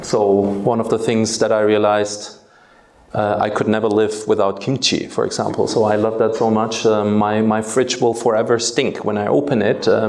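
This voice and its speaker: A man in his forties, born and raised in Germany with German as his first language, speaks to students from a school stage. His voice sits low and gravelly, and he often stops on filler sounds. The room around him rings with echo. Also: a little mellow. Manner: hesitant, many filler sounds. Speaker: guest speaker. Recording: echoey hall.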